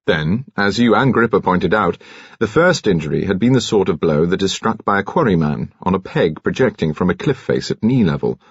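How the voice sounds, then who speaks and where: Deep british guy reading a crime audiobook, dramatic, dull muffled recording. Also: animated, a little fast, slightly rough, dry recording. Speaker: audiobook narrator. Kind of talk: scripted dramatic narration of a detective novel.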